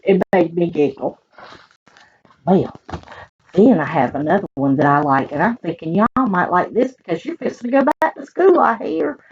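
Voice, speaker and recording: A woman with a Southern US accent reading picture books aloud to children in an animated, expressive way, adding chatty asides. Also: on the low side, lo-fi sound, slight background noise. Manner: animated, expressive, talks directly to young listeners.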